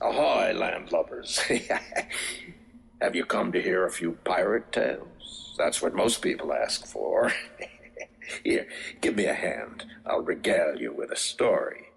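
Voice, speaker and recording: An actor playing a folksy old sailor in a game, gravelly and unhurried, with salty pirate talk. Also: male voice, low voice, animated, heavily acted. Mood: jovial, storytelling. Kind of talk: video game character acting.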